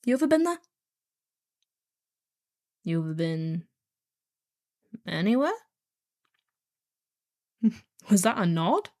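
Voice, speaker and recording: Woman acting as a snarky, bored kidnapper, lively pitch. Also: slow pace, long pauses. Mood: wry, nonchalant. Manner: snarky, casual, bored drawl with sighs. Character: snarky, bored, heartless female kidnapper for hire. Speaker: voice actor. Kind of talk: scripted one-sided audio roleplay drama.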